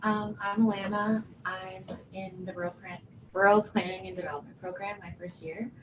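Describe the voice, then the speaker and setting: A woman's voice: mid-range voice, steady tone, normal speed. A young woman, a graduate student, casually introducing herself in a classroom. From Ontario, Canada. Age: young adult. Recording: distant mic, noisy room.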